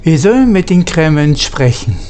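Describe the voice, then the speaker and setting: A man giving playthrough commentary on a game, partly in character, in a halting, muttering way. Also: fairly high pitch, sing-song, darkish tone, slight background noise. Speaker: gamer playing and commenting.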